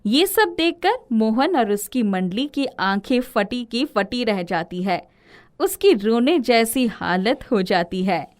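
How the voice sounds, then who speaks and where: A woman's voice: on the high side, lively intonation, slow pace. A woman narrating a scripted moral story as its storyteller.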